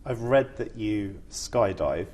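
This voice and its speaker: Low-voiced male interviewer. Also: expressive, takes it slowly, a little mellow, a little hiss or hum. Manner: concise, polite questioning. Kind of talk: brisk interview questions.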